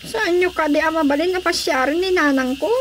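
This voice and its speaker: An actress playing an earnest, polite young daughter in a radio drama, pleading and deferential. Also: female voice, very high voice, a little fast, slight background noise.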